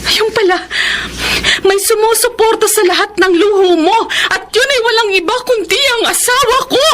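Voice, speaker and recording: A woman's voice: very high-pitched, normal speed, a bit bright, a little hiss or hum. A Filipino woman playing a hurt, confrontational wife in an emotional radio drama, speaking pointedly with a Filipino accent. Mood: cold, accusing. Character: Rita, wronged thirty-year-old wife, hurt and confrontational.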